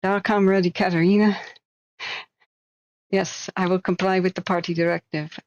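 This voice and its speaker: Casting note: dutiful Soviet comrade woman with a hammy Russian accent, put on by a woman streamer, low-pitched, lo-fi mic. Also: level tone, normal speed, darkish tone, dry recording, close to an everyday voice. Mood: mock-dutiful. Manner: stiff, dutiful, mock-serious. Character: obedient female Soviet party loyalist.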